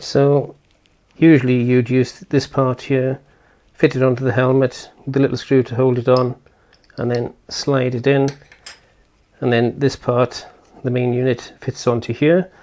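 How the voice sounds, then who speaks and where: A man's voice: mid-range voice, darkish tone. A man giving a casual, unscripted product unboxing and setup walkthrough, thinking aloud as he goes. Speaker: video host.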